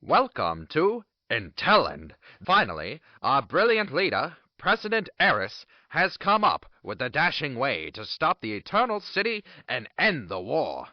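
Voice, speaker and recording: A zealous state propaganda announcer voiced by a man in an audio drama, selling the regime with pompous flair. His pitch leaps about wildly, and the sound is dry and a little dull. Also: wildly swooping pitch, measured pace, few pauses, dry recording. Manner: pompous, salesman-like.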